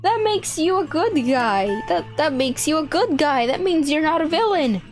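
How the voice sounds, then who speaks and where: A young woman hosting a gaming video, narrating and chatting through a roleplay in an exclamatory, animated way. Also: high voice, normal speed, soft background music. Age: young adult. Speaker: YouTube gaming host.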